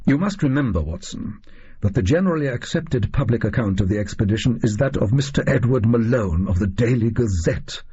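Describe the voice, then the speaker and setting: A man voicing a brisk, eccentric, self-assured Victorian detective with an English accent and a pedantic, emphatic manner in an audio story. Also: medium pitch, expressive, dark tone, slightly rough, dull audio. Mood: insistent.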